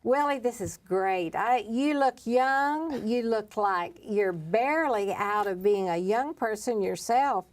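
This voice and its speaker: A woman's voice: on the high side, lively intonation, slow pace, hardly any pauses. A middle-aged woman hosting an interview show, friendly and teasing with her guest. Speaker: talk show host.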